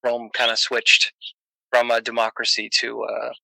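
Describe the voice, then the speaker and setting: A man's voice: mid-range voice, monotone, moderate pace, creaky, sharp tone, no room sound, low-quality recording. A man from Massachusetts, chatting casually on a podcast, joking and laughing often, with many fillers. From Massachusetts, USA. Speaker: podcast guest. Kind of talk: casual chat.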